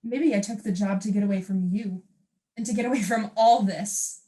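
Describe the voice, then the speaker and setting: A woman's voice: mid-range voice, moderate pace, warm tone, natural voice. A young woman acting in a stage play as a defiant, wounded wife who longs to sing. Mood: defensive, hurt. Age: young adult. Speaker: student actor.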